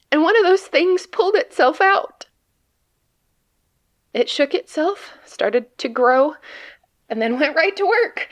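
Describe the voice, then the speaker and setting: A woman playing a frightened, despairing agent trapped among monsters, delivering a halting, grimly sardonic confessional monologue in an audio drama. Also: on the high side. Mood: horrified, shaken. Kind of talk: dramatic monologue reading of a written report.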